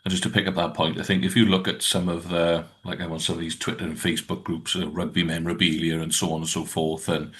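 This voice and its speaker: A very deep-voiced man talks about rugby on a podcast. His delivery is flat and rambling, full of hesitations. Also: fast, hardly any pauses. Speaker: podcast co-host. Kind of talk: casual rugby chat.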